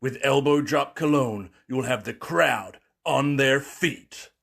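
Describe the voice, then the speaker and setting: A bombastic macho pitchman played by a man with a gravelly, husky voice. He delivers a parody radio commercial slowly and dramatically, leaning hard on the big words. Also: a bit bright, exaggerated voice. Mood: triumphant bravado. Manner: dramatic, emphatic, drawn-out.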